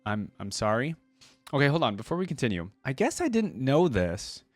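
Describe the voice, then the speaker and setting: A man's voice: mid-range voice, huge pitch swings, brisk, darkish tone, dry recording, faint music behind. A man hosting a reaction video, reading a story aloud and commenting on it in a casual, slangy way. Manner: casual, slangy, thinking aloud between passages.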